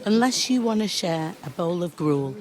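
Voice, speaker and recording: An actress playing a brusque, sharp-tongued serving woman at a tavern in a medieval video game. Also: on the low side, expressive, moderate pace, natural voice. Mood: scornful. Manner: curt, mocking. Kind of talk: video game cutscene acting.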